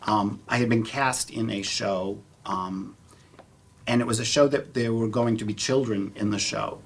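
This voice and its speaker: A man speaking as a theater panelist, sharing personal anecdotes in a halting way, often repeating words. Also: fairly low pitch, fairly even intonation. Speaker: panelist, community theater actor. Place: public panel event, live audience. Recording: clear background room noise.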